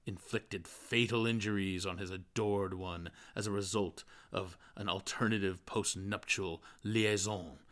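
A man reading a literary novel aloud as an audiobook, in expressive narration. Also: deep voice, measured pace, few pauses. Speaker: audiobook reader.

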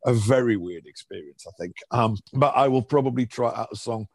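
Middle-aged male comedian from Liverpool, wry and opinionated, conversational, mid-pitched. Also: a little fast, vocal fry, husky, no echo. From Liverpool, England. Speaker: comedian guest. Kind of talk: casual conversational commentary.

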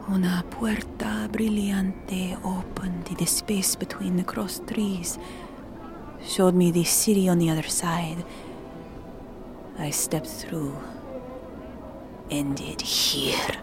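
An actress playing a frightened, half-mad woman with a Spanish accent, halting and haunted, slipping Spanish words into her English. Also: mid-range voice, steady tone, background noise. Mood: dazed, hushed. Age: middle-aged. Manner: halting, haunted, mixing Spanish into English, often dropping to a whisper. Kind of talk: audio drama acting.

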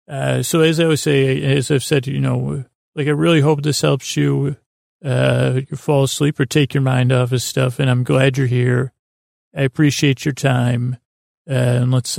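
Very creaky male podcast host, rambling. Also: medium pitch, darkish tone, no room sound, clean studio sound. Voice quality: creaky, gravelly. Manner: meandering, halting, stammering and repeating himself; lulling, soothing. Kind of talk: rambling bedtime sleep-podcast monologue.

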